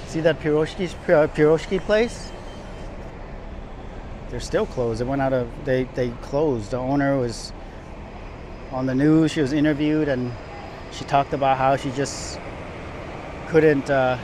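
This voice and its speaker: A man's voice: mid-range voice, a little slow, long pauses. A man giving a casual, rambling walking tour as a vlogger, often repeating and rephrasing himself as he goes. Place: outdoors, walking on downtown sidewalks. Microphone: handheld camera while walking. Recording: clear outdoor city street noise, traffic.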